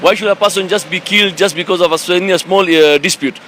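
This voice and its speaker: A man's voice: high-pitched, fast talker, a bit bright, slight background noise. Hesitant man appealing outdoors. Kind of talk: street statement.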